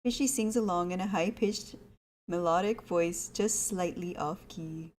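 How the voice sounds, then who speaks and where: A woman's voice: lowish voice, unhurried, darkish tone, a little hiss or hum. Chatty woman streaming a visual novel. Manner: chatty, informal asides. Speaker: game streamer. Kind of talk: casual livestream commentary mixed with reading visual novel text aloud.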